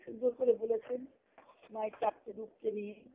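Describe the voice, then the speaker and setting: A woman's voice: fairly high pitch, level tone, moderate pace, heavy background noise. Woman on a tinny phone call giving a spiritual talk, short phrases, repeats herself, asks questions then answers them. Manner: didactic, with short phrases, repetition and rhetorical questions. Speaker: spiritual teacher giving a discourse. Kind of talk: devotional discourse on a group conference call. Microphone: telephone. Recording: narrow, tinny phone conference line.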